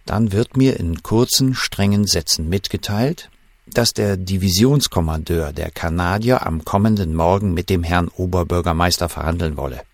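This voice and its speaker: An actor playing a shaken German official who recalls a wartime night in measured, suspenseful first-person audio drama narration. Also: male voice, sits low, dry recording. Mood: urgent, strained.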